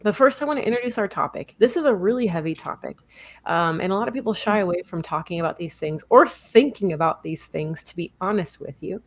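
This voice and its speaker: A woman's voice: fairly low pitch, lively intonation, a little fast. A woman hosting a live online talk show, earnest and explanatory, introducing her co-host and guest over a video call. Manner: earnest, explanatory, with some fillers and self-corrections.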